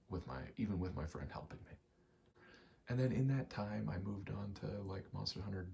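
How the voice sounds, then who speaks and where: A soft-spoken man giving rambling ASMR game commentary, halting and casually profane, often slipping into a whisper. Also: deep voice, vocal fry, a little hiss or hum. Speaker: gaming video creator.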